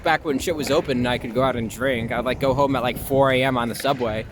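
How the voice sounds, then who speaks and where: A man's voice: mid-range voice, steady tone, fast talker. A young man from Long Island chatting casually and informally in an outdoor interview in a city park, swearing freely and poking fun at himself. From Long Island, New York. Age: young adult. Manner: informal, self-deprecating, frequent swearing and "like". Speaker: interview guest, performer. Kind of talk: casual street interview chat. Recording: outdoor recording with clear traffic noise.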